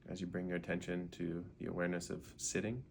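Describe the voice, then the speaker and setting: A man leading a guided meditation in an unhurried way, gently asking questions and leaving pauses. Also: low voice, monotone, gravelly, slight background noise.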